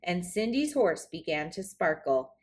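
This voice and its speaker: A woman narrating a children's storybook aloud in an expressive read-aloud style. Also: lowish voice, unhurried. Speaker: storybook reader.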